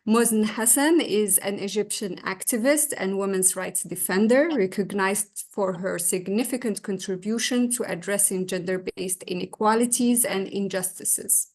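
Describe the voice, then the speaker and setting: A woman from Morocco moderates an online discussion in a formal, prepared manner. Her pitch sits in a middle range. She is speaking over a video call. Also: normal speed, hardly any pauses, darkish tone. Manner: formal, reads prepared introduction. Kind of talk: webinar moderation.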